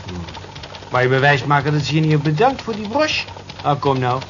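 Teasing office colleague, highish male voice, lively swoops, nosy, radio play, dull noisy recording. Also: moderate pace. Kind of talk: radio drama dialogue.

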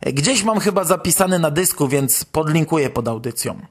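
Polish man hosting, higher-pitched voice. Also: expressive, normal speed. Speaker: podcast host. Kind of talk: podcast news commentary, conversational.

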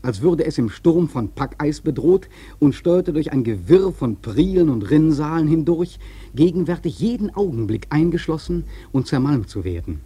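Radio drama narrator: male whaler, dark, very raspy voice, solemn literary storytelling. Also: fairly high pitch, big pitch swings, moderate pace, dry recording, full character voice. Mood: intense.

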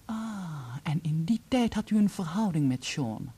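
An actress in a Dutch radio play playing a composed, candid divorced woman who speaks in a measured, probing way. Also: low voice, animated, mellow, husky, heavily acted.

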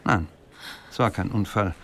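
Low, sober professor. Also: male voice, creaky, a bit bright, a little hiss or hum. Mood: sober, troubled. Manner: measured, sober, explanatory. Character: sober, weary male literature professor. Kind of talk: radio drama dialogue.

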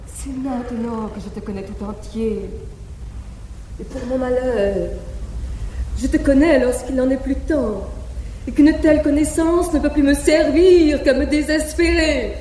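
Scornful noble lady, high voice, declaiming, old live tape, big echoey hall. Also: unhurried, dark tone, slight background noise. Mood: vengeful. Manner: declaiming, bitter irony, rising to outcries. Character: proud wronged noble wife, scornful and vengeful. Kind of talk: classical verse-drama acting, live theatre. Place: large theatre stage.

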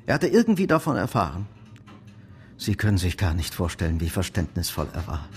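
An actor playing an ashamed, soft-hearted bankrupt son in a German radio play, halting and confessional. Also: male voice, sits low, sing-song. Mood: ashamed, emotional.

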